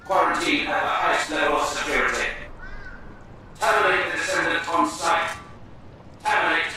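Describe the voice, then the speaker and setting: An actor voicing a menacing male cyborg commando in a video game, clipped, robotic and forceful. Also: on the high side, steady tone, moderate pace, a little hiss or hum, low-quality recording. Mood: cold, menacing. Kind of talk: scripted game dialogue.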